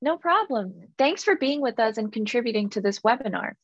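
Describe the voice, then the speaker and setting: A woman's voice: a little high, animated, a little fast, no room sound. Polished woman moderating over dull audio. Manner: polished, scripted and formal. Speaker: webinar moderator. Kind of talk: reading panelist introductions. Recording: dull, dry webinar audio.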